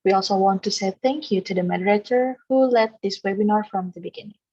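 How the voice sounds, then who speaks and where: Husky woman emcee, formal announcements, muffled lo-fi audio. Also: medium pitch, fast talker, dark tone. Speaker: master of ceremonies. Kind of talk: scripted webinar emcee remarks.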